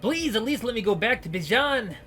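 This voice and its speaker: A man's voice: high voice, big pitch swings, brisk, fairly bright tone, soft background music, pitched above the performer's own voice. A man voicing a panicked male prisoner, a petty thief, who begs desperately for his life. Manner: whiny, desperate begging.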